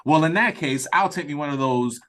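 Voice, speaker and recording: A man playing a chatty, cheeky customer in an audio drama read-through, with exaggerated politeness and hesitant pauses. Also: fairly high pitch, fairly bright tone, lightly acted. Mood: chatty, polite. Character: chatty, cheeky, hungry male restaurant customer. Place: remote reading over a video call. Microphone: video call.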